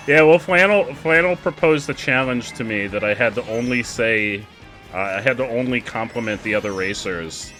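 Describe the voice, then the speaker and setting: A young man casually commenting on a kart race while chatting with his viewers, excitable and quick to laugh. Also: mid-range voice, soft background music, low-quality recording. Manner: excitable, giggly, chats with viewers. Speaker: streamer.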